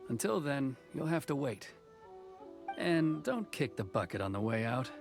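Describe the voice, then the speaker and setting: An actor playing a stern, dutiful officer in a video game, formal and reserved but earnest. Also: male voice, mid-range voice, very animated, measured pace. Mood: stern, restrained. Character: stern dutiful male officer softening with reluctant sentiment. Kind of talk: dramatic video game voice acting. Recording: clear music behind the voice.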